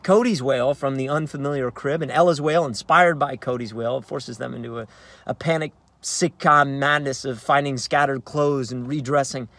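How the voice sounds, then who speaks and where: A man in his thirties with an American accent, an actor reading a play as conversational, halting narration with uneven emphasis, recorded inside a car. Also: mid-range voice, a bit bright, no echo. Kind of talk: dramatic narration of a play read on book. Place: inside a parked car in daylight.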